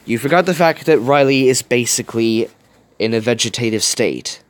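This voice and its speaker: A man voicing an earnest, concerned young man in a dramatic story, calm but easily exasperated. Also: mid-range voice, moderate pace, natural voice.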